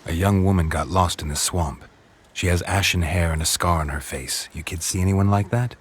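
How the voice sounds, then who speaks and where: An actor playing a stoic, weary monster hunter in a video game, terse and deadpan with a gravelly, husky voice. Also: male voice, low voice, moderate pace, a little hiss or hum, close to an everyday voice. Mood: even, guarded.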